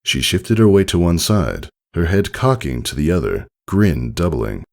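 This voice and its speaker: A man reading fiction aloud as an audiobook narrator, with expressive scripted delivery. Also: sits low, a little slow, gravelly.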